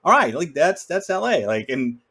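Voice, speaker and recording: A man's voice: on the high side, sing-song, normal speed, a bit bright, slightly rough. Wry man in casual film chat.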